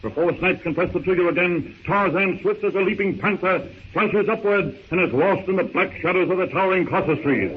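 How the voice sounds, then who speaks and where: A man's voice: sits high, brisk, few pauses, narrow, tinny audio, close to an everyday voice. A man narrating a 1930s radio adventure serial in a theatrical, suspenseful, declamatory manner. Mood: urgent. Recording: thin, noisy 1930s radio recording.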